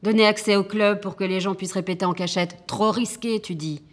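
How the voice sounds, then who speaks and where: An actress playing a brash, defiant jazz club owner in a naturalistic drama, talking colloquially with a sardonic edge. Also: female voice, mid-range voice, fairly even intonation, a little fast, lightly acted. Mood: indignant. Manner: colloquial, sardonic, musing aloud. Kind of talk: naturalistic drama monologue and dialogue.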